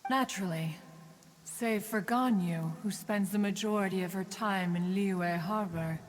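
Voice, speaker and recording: A woman's voice: medium pitch, unhurried, slight background noise. A woman voicing a dignified, haughty immortal crane spirit in a video game, speaking in a stately, formal manner with a reverb effect. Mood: stately, composed. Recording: long reverberant tail on voice.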